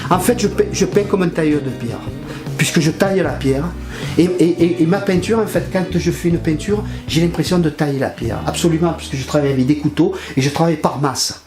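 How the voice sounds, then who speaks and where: A man's voice: on the high side, fairly quick. An older man from France, a painter talking casually in his studio, hesitant and often repeating his words. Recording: roomy studio with music over it. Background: guitar music.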